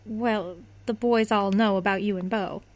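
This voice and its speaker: A woman's voice: medium pitch, steady tone, measured pace, dry recording, close to an everyday voice. A woman playing the sleuth's blunt, plainspoken friend in a radio drama. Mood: earnest.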